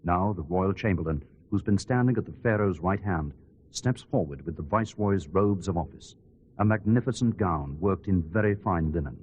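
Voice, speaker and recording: Man acting as low-voiced solemn ceremonial commentator. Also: darkish tone, highs cut off. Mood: solemn, measured. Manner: measured, descriptive, reverent. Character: solemn court reporter commentating on a royal ceremony. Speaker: outside-broadcast commentator. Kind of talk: hushed ceremonial live commentary, scripted. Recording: clear background noise and ambience.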